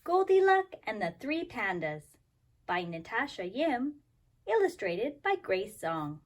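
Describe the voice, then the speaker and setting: A woman's voice: fairly high pitch, big pitch swings, slow. A woman talking and reading aloud expressively to young children as a kindergarten teacher, explaining things simply. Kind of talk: children's story time, expressive read-aloud.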